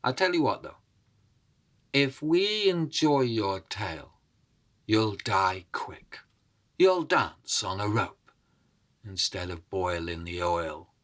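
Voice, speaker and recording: A man's voice: lowish voice, sing-song, slow, long pauses, fairly bright tone, exaggerated voice. A man playing a haughty young Irish king in a folk tale, cruelly amused.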